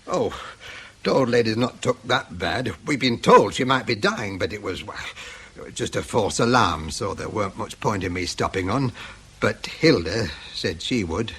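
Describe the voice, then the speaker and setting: A man's voice: fairly low pitch, expressive, normal speed, sharp tone, slight background noise. An actor playing a blunt, suspicious middle-aged Lancashire man being interrogated in a radio drama, defensive and stroppy. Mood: grudging.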